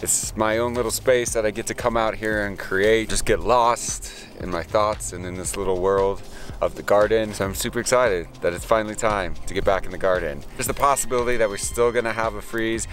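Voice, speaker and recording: A man's voice: fairly low pitch, normal speed, a bit bright, faint music behind. An American man in his thirties chatting casually to camera in an easygoing, rambling way as a gardening vlogger. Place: outdoors in a garden.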